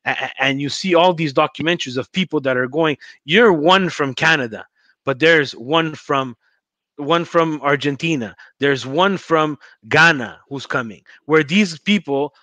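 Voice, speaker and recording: 40s guy hosting a podcast on a headset, rambly and stuttery, bright tense voice, dry sound. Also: fairly high pitch, unhurried, no echo. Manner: rambling, emphatic, many stutters. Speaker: podcast host. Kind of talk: casual video-call interview. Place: home room indoors.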